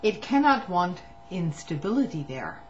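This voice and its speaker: A woman with a lowish voice reads a formal statement aloud, steady and measured, with a slip or restart here and there. The recording is dull and lo-fi, and a faint electrical hum sits underneath it. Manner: measured reading with occasional stumbles and restarts. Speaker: reader of a public document. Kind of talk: reading testimony aloud.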